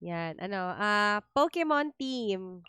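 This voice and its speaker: A woman's voice: mid-range voice, lively intonation, takes it slowly, bright, forceful tone, clear, resonant tone, dry recording. A woman with a Filipino accent giving casual, chatty live commentary as she streams a game, thinking aloud. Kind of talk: casual live gameplay commentary in mixed Tagalog and English.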